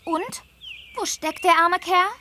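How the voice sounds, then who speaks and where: A woman voicing a friendly, eager teenage girl on holiday in a children's game, earnest and clearly spoken. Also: very high voice, slow pace, noisy background. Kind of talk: scripted children's game dialogue.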